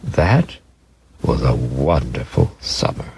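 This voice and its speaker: Deep-voiced man reading a storybook expressively. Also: animated, slow, creaky, a little mellow, slight background noise. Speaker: narrator. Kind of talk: dramatic storybook narration.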